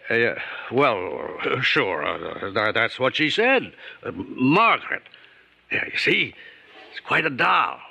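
Sharp-voiced, shifty, menacing man. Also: medium pitch, very animated, vocal fry, husky, heavily acted. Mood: coaxing, uneasy. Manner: halting, evasive, stammering. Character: shifty, nervous, menacing male neighbor hiding a secret. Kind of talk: old-time radio suspense drama acting.

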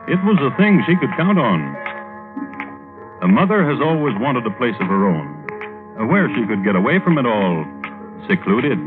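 Wry storyteller host, lowish voice, formal delivery with lively swoops, macabre humor, old radio narration. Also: male voice. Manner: wry, deadpan, formal with deliberate hesitations. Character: droll, macabre male true-crime storyteller host. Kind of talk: 1950s radio scripted narration. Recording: tinny old radio recording.

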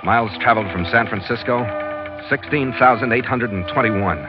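An actor with an American accent playing a steady, wry ship's captain who narrates his adventures in an old radio drama. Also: medium pitch, moderate pace, natural voice. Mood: matter-of-fact. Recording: dull old radio recording, music behind.

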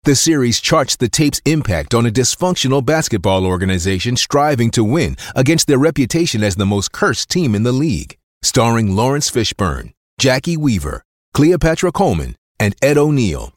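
A man's voice: mid-range voice, very animated, normal speed, dry recording, studio quality. A man reading a scripted promotional message as a sponsor announcer.

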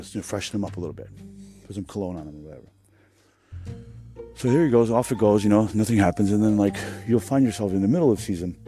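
A man's voice: fairly low pitch, dark tone. A man hosting a podcast, talking in a casual, rambling monologue, profane and sarcastic. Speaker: podcast host.

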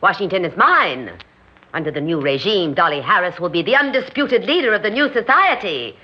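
Grandiose scheming society hostess, swooping delivery. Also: female voice, fairly low pitch, animated, brisk, husky. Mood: grandiose, fervent. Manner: theatrical, imperious. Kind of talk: old radio drama acting. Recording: muffled old radio recording.

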